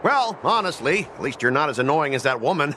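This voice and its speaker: A man's voice: a little high, very animated, a little fast, bright, forceful tone, slight background noise. A man voicing a self-important young corporate merchant in a video game, whiny, indignant and sarcastic. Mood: grudging.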